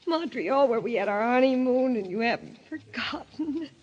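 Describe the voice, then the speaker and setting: Sweet gushing wife, fairly high husky voice, exclamatory, vintage radio mystery, muffled recording. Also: female voice, expressive, normal speed, a little mellow. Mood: overwhelmed, grateful. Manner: breathlessly earnest, exclamatory. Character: sweet, gushing, trusting wife, a former nurse. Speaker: radio drama actress. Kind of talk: golden age radio mystery acting.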